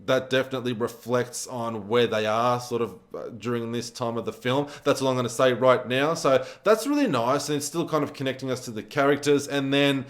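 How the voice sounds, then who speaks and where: Man, mid-pitched voice, casual film reviewer, conversational, repeats words for emphasis. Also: brisk, hardly any pauses. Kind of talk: casual spoken movie review to camera.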